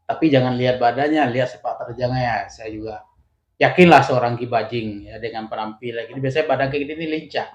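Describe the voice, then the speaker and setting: A man with a mid-pitched voice hosts a casual interview. He sums up and comments as he goes, in a conversational way. The recording is slightly dull, with strong room echo. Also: fast. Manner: recaps and comments on the guest's answers. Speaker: interview host. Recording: dull sound, echoey room.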